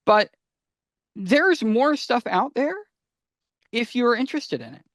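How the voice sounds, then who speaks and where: A man's voice: extremely high pitch, lively intonation, takes it slowly, a bit bright, no echo, studio quality. A man co-hosting a casual fan discussion, speaking conversationally and addressing listeners directly. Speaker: podcast co-host.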